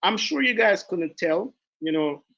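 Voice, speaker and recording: A man's voice: high-pitched, lively intonation, unhurried, bright, forceful tone, highs cut off. A man from Jamaica with a Jamaican accent, leading a chatty sales training on an online call, often repeating himself and drifting off topic. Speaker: sales team leader giving a training.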